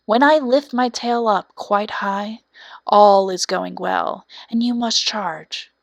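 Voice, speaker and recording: Woman as a deliberate, cunning fox. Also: medium pitch, gravelly, no room sound. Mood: smug instruction. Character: cunning male fox general with a bushy tail. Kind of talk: storybook character voice.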